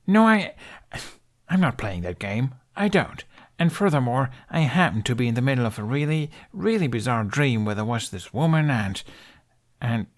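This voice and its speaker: An actor playing an awkward young man, stammering and excitable, with a wry, sarcastic streak. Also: mid-range voice, normal speed, close to an everyday voice. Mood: excited, defensive.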